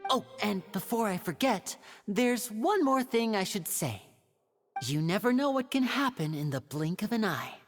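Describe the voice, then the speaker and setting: A woman's voice: mid-range voice, close to an everyday voice. A woman voicing a charming, polite young male stage magician with theatrical showman patter in a video game. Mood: mysterious. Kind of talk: video game cutscene acting.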